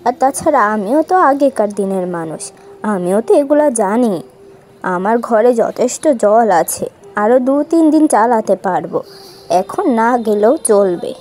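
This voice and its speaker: Woman as a mellow, superstitious village mother. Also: mid-range voice, animated, moderate pace, natural voice. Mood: firm, cautious. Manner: confiding, cautious. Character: superstitious, cautious old-fashioned village mother. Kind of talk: cartoon voice acting.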